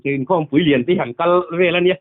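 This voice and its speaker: Higher-voiced man on a narrow phone line. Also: a little fast. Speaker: phone caller. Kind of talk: casual phone chat. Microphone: telephone.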